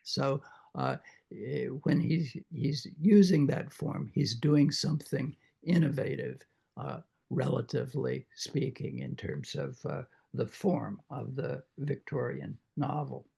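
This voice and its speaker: Croaky, halting older man. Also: mid-range voice, dark tone. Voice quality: croaky after throat surgery. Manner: halting, many uhs and restarts. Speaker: literature professor leading a reading group. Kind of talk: informal lecture.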